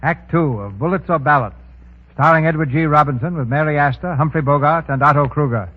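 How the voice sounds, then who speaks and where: A man's voice: on the high side, fairly quick. A man hosting and narrating a 1930s radio drama in a formal, dramatic scripted style. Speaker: host and narrator. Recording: tinny phone-like 1930s radio recording.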